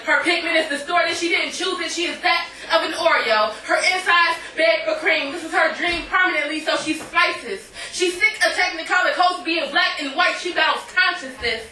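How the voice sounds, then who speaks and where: A woman's voice: very high-pitched, moderate pace, few pauses, sharp tone. A woman poet performing a live spoken-word reading of verse. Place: indoor cafe poetry night. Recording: echoey live room, distant microphone.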